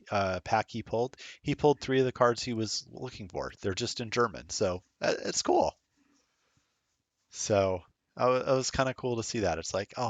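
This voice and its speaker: A man's voice: lowish voice, no echo. Rambling, self-deprecating middle-aged man. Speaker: stream commentator. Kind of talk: casual game commentary.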